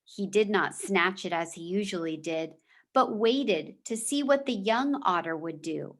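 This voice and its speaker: A woman teacher reading a children's book aloud in a measured, deliberate storybook manner, with short explanatory asides. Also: mid-range voice.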